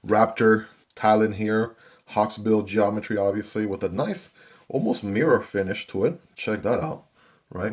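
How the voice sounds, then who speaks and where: A man hosting his own gear channel, chatting casually to viewers as he unboxes and reviews a knife. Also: on the low side. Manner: chatty, talks straight to viewers.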